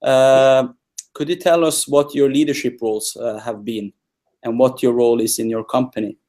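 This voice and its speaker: A man hosting an interview over a video call, asking questions with many pauses and fillers. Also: medium pitch, fairly even intonation, darkish tone. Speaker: interview host.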